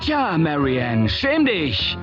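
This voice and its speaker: An actor playing a 1940s American radio DJ who presents the hit parade. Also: male voice, on the high side, huge pitch swings, very slow, full character voice. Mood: smooth presenting. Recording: dull old-radio sound with music.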